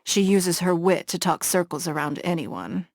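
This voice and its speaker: A poised, shrewd noblewoman leader from a fantasy game. She speaks in a measured, formal and composed way, with a slightly low voice. The recording is dry and close, with no room sound. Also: no room sound. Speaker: game character. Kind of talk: game cutscene voice acting.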